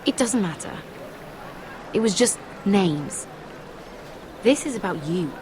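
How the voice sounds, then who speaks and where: An actress playing an anxious, gossipy young handmaiden in a game, speaking in a plaintive, confiding way. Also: female voice, medium pitch, lively intonation, slow pace, darkish tone, a little hiss or hum. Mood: confiding, earnest. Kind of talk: dramatic game cutscene acting.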